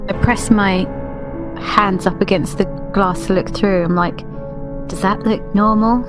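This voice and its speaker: A woman's voice: medium pitch, fairly even intonation, a little slow, over music. A woman playing a tabletop game with casual, improvised role-play.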